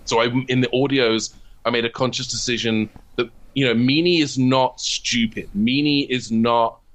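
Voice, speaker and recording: Man, interview guest, middle pitch, reflective, long explanations, frequent restarts, conversational. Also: steady tone, normal speed, fairly bright tone. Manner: reflective, explains at length with repeated false starts. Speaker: guest, comedy writer and voice actor.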